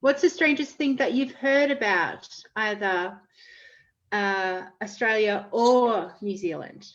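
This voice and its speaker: A woman's voice: medium pitch, takes it slowly, fairly bright tone, dull audio. A woman hosting an interview, asking curious questions. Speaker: interview host. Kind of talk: casual interview.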